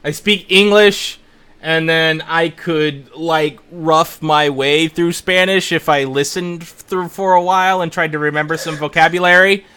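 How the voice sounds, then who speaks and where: A man hosting a casual tabletop gaming podcast, relaxed and joking as he chats with his co-hosts. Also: on the high side, measured pace, smooth. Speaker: podcast host.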